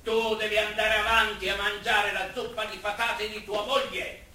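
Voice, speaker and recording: An actor playing a wry, educated antifascist doctor in a stage drama set in 1940s Rome, theatrical and emphatic. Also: male voice, high voice, little pitch movement, normal speed, sharp tone. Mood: pompous irony. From northern Italy. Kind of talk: stage comedy-drama acting. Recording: echoey far-off stage recording with noise.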